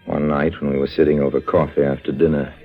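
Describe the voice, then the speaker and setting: A man's voice: extremely low pitch, flat delivery, fast talker. An actor in an old radio drama playing a wry American con man, urbane and understated, with an American accent. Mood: wry, reflective. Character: smooth, wry American con man, first-person narrator. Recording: dull vintage radio recording, music behind.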